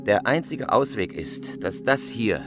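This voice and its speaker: An actor playing a cool, controlled professional criminal in a German radio crime drama, speaking tersely and matter-of-factly with a commanding manner. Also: male voice, fairly low pitch, expressive, a little slow, over music. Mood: cold, commanding.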